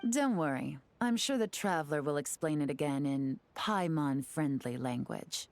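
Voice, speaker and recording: A woman's voice: sits low, animated, a little mellow, a little hiss or hum. A woman playing a cool, confident and shrewd intelligence agent in a game, speaking in a composed, assured way. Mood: confident, calculating. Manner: composed, assured, measured explaining. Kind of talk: game character dialogue. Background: faint music.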